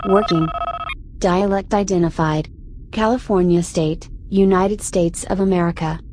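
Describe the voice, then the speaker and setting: A woman performs an obedient computer for an audio drama. The voice is fairly low, clipped and impersonal, with slight noise behind it. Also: fairly even intonation, unhurried, darkish tone, close to an everyday voice. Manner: clipped, informational. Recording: faint background noise.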